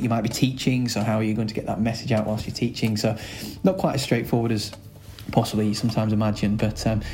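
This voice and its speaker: A man with a Lancashire accent, a school's director of sport, answering podcast interview questions in a chatty, rambling way with many filler pauses. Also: fairly low pitch, darkish tone. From Lancashire, England.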